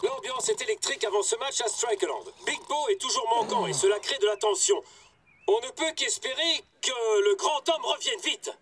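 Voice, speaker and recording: Excitable male cartoon commentator, very high pitch, dramatic, stadium crowd. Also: moderate pace. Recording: clear crowd noise behind.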